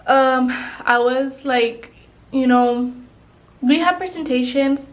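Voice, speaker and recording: A woman's voice: high-pitched, slow. A young woman vlogger chatting casually while doing her makeup, rambling with lots of "like" and "you know". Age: young adult. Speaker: beauty vlogger.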